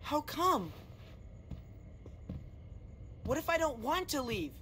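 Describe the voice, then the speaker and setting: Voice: high-pitched, sing-song, normal speed, pushed and bright, slight background noise, exaggerated voice. A performer playing a stubborn, defensive young boy in a video game drama, argumentative with hesitant stammers. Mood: defiant.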